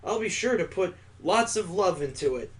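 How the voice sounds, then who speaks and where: A sincere, friendly family man from a visual novel, read out by a man. He speaks admiringly and good-naturedly in a fairly high voice. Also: expressive, normal speed.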